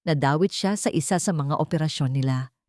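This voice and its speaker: A woman voicing a dignified, determined widow who speaks calmly and firmly in a story. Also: sits low, brisk, dry recording, clean studio sound, natural voice. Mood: sorrowful, resolute. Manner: clear, calm, firm. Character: dignified, determined young widow standing up for herself.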